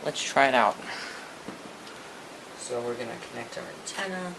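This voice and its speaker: Guy fixing up an old radio, mumbles to himself as he works, mid-pitched, noise behind him. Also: expressive, normal speed, gravelly. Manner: thinks aloud while working, chatty asides. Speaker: radio restorer. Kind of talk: casual workshop narration. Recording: noisy workshop recording.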